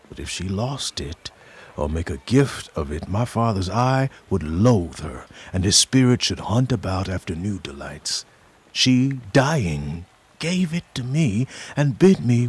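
An actor playing a jealous, suspicious army general in a radio play, speaking slowly and intensely, with sudden whispers and shouts. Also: male voice, lowish voice, slightly rough, heavily acted.

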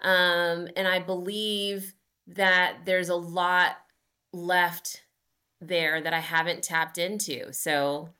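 This is a woman in her forties, a guest on a podcast, who talks about her running in an earnest, upbeat way. Her voice sits a little low but sounds bright and forward. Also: flat delivery, takes it slowly, smooth. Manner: earnest and upbeat, with frequent fillers. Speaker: guest, amateur marathon runner. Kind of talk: casual podcast interview.

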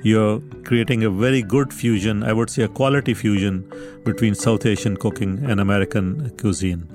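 A father speaking earnestly and approvingly about his son. His voice is somewhat low, with soft guitar music in the background. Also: fairly even intonation, normal speed. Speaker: father of the reporter. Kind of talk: interview answer. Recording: faint guitar music behind.

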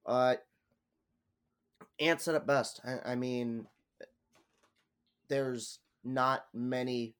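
Congested male co-host, nasal, subdued and halting, mid-pitched. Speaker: podcast co-host. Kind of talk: casual chat.

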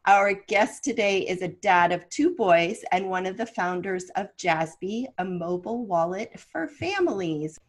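A woman co-hosting a podcast about parenting. Her voice sits at a middling pitch, and she keeps things friendly and conversational. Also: lively intonation, measured pace, hardly any pauses. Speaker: podcast host. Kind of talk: podcast interview.

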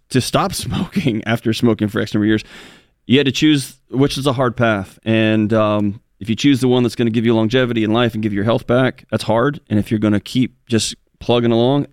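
Fast-talking earnest man on a talk show. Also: mid-range voice, no room sound, clean studio sound. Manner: earnest, rambling with restarts. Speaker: co-host. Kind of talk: casual talk show conversation.

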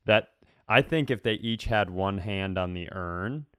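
Smooth lowish guy on a comedy podcast, rambly self-deprecating chat, really dry sound. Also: measured pace, fairly bright tone, no room sound.

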